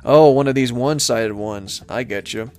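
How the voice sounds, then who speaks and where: A man giving casual video game commentary, thinking aloud in a rambling, halting way as he plays and reads from the screen. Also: mid-range voice, darkish tone, soft background music. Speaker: let's-play host.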